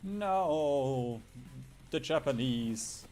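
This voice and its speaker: A man's voice: medium pitch, lively intonation, drawn-out words, darkish tone. A man doing casual gaming stream commentary in a rambling, jokey way, swearing casually. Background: background music.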